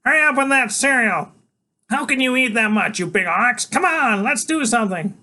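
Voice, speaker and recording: A man's voice: normal speed, full character voice. A man voicing a small, bossy genius boy who is always full of energy and speaks in lofty pronouncements. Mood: excited. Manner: high, excitable, lofty pronouncements. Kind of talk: audiobook character voice.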